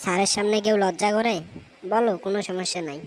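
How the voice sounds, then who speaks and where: A woman with a Bangladeshi accent voicing a friendly, coaxing, unhurried male office boss in an amateur cartoon. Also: medium pitch, natural voice. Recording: hissy recording with steady ambient noise.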